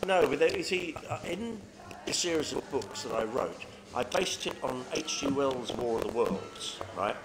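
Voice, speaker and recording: A man's voice: mid-range voice, normal speed. A middle-aged man, an author, telling anecdotes in casual, chatty interview conversation. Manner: chatty, animated storyteller, frequent tag questions. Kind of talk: casual pub chat, anecdotes. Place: pub in Brighton. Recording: noisy pub recording with clicks.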